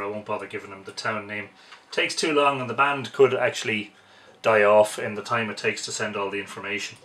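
An Irish man in his forties giving unhurried live commentary as he operates a radio at home, muttering to himself as he works. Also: medium pitch. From Ireland. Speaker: amateur radio operator demonstrating. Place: small home radio room, indoors. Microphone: camera a couple of metres away. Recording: distant camera mic, loud background hiss.

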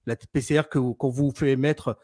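A man's voice: mid-range voice, steady tone, fairly quick, a crowd in the background. A man hosting a live stream, talking in an insistent, argumentative way. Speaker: livestream host. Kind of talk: casual chat on a live stream.

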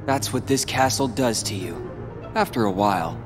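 A man's voice: medium pitch, lively intonation, moderate pace, music in the background, lightly acted. A young man playing a cold, bitter teenage rival in a video game cutscene, speaking in a taunting manner.

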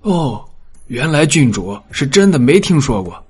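Man acting a husky, deferential household steward. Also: mid-range voice, big pitch swings, a little slow, heavily acted. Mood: amiably explaining. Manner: polite, explanatory. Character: deferential, reassuring male steward to a princess.

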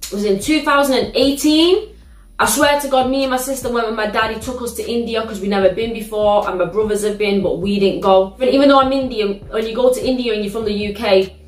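A young woman with a British accent, hosting a reaction video in a living room, telling stories in an animated, slangy, exclamatory way. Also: on the high side. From United Kingdom. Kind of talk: casual reaction vlog. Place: living room, sitting on a sofa. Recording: roomy living-room echo.

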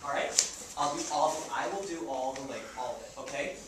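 An actor playing a young, idealistic, broke farmer on stage, pleading and stammering in slangy, insistent speech. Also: male voice, mid-range voice, fast, crowd noise, natural voice. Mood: eager pleading. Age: young adult. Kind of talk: stage play acting. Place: indoor theater stage. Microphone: far camera. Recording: echoey theater stage, distant noisy camera mic.

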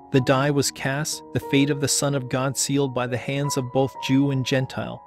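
A man reading a scripted homily as solemn, measured dramatic narration. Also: mid-range voice. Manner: measured, solemn storytelling delivery. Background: background music.

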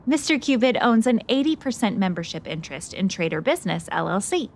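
A woman's voice: medium pitch, sing-song, fairly quick. A woman playing a plucky, self-assured investigative reporter in a scripted radio drama, inquisitive and theatrical. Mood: matter-of-fact.

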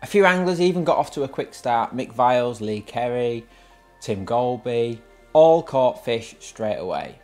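A man with an English accent giving explanatory highlights commentary on a fishing match. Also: animated, a little slow, a little mellow, faint music behind.

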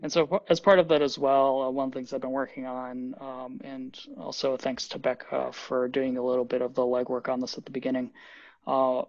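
A man's voice: mid-range voice, flat delivery, a little fast, darkish tone, no room sound. Man explaining on a dull video call. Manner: thoughtful, explanatory, with many fillers. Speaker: software developer presenting updates. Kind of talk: video call team meeting.

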